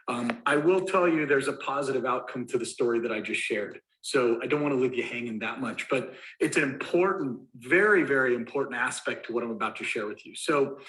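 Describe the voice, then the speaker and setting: A man in his forties from Colorado tells a personal story in a recorded motivational talk. His voice sits at an ordinary pitch and carries a roomy echo. He sometimes acts out the scenes he describes. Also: a bit bright. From Colorado, USA. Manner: storytelling, acts out the phone call. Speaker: guest speaker.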